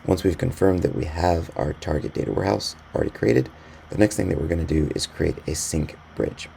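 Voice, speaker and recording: Really deep guy doing a software walkthrough, lots of vocal fry, faint noise behind him. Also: fairly quick. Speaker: software tutorial narrator. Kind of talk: instructional screencast narration. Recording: voice-over with faint background noise.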